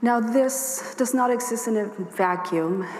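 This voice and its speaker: A woman with a hoarse voice giving a workshop presentation at a conference, explaining her points steadily and speaking straight to the audience. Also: fairly high pitch, measured pace, a little mellow. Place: conference hall. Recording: echoey hall with long reverb, faint ambience.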